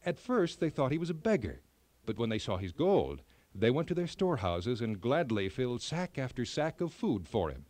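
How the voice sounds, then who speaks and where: A man narrating a children's fairy tale in an expressive, measured storytelling style. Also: medium pitch, dry recording.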